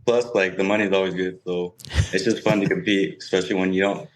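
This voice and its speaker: A man's voice: sits low, little pitch movement, fairly quick, rough recording. A young man speaking casually as an interview guest and pro player. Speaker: guest, professional cornhole player.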